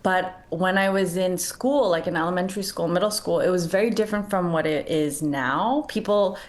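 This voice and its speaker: A woman's voice: fairly low pitch, moderate pace. A woman in her thirties, born in the United States with Korean as her first language, talking casually as a novelist guest over a video call. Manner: relaxed, conversational, casual fillers. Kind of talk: remote interview answers.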